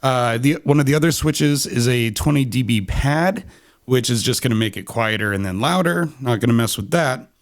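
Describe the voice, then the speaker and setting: A man's voice: medium pitch, moderate pace, dry recording. Chatty man close on a condenser mic. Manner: chatty, relaxed, many ums and restarts. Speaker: podcast gear reviewer. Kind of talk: casual microphone review. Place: small quiet home studio room. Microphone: studio condenser on boom arm, close, no pop shield.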